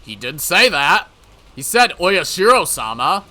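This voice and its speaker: A man's voice: fairly high pitch, very animated, a little slow, voice pushed up, full character voice. Man acting out an unhinged, intense male writer. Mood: frightened, angry. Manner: shouting, wailing, taunting, theatrical. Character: unhinged male freelance writer, shifting from panic to cold menace.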